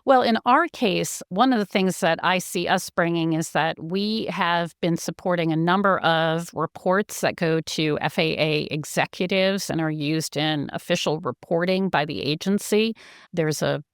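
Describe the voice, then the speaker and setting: A woman speaks as an interview guest with a slightly low voice and a close, dry sound. She explains things as a company executive, articulate and earnest, with evident enthusiasm for the subject. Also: a little slow, clean tone, dry recording.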